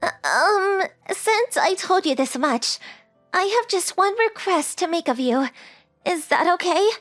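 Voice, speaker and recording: A woman acting out a lovestruck high school girl with an extremely high, sweet voice. She sounds earnest and affectionate, in anime romance dub style, recorded close and dry. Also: lively intonation, measured pace, a bit bright, dry recording, faint music behind, heavily acted. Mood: shy confession.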